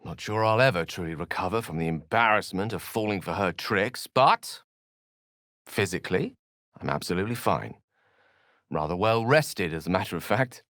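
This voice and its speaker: A man's voice: deep voice, a bit bright, dry recording, studio quality. A man playing an eloquent, bookish video game wizard, wry, proud and courteous, with an articulate, theatrical and refined delivery. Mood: wry, composed. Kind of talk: video game character acting.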